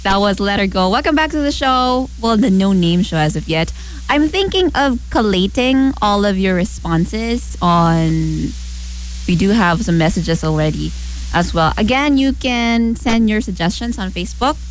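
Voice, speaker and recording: A young woman radio host with a medium-pitched voice. She talks casually and conversationally, addressing listeners directly as she gives advice. There is a light hum and hiss on the recording. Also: animated, normal speed, rough recording.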